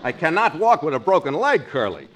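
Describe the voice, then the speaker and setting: A lazy fellow milking his broken leg for all it's worth, his voice swooping high and low in grand, mock-suffering phrases. He is a touch higher than most men. It comes through an old radio recording with plain hiss behind it. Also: very animated, brisk. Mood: bossy. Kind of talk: 1940s radio comedy acting.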